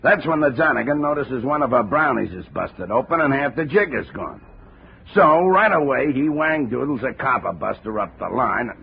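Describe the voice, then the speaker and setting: A gravelly old railroad bull. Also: male voice, medium pitch, expressive, moderate pace. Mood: briefing. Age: older. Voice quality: gravelly, heavy railroad slang. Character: gruff aging railroad detective, blunt and folksy. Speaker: railroad cop. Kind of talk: 1950s radio drama acting. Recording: dull old radio transcription with faint hiss.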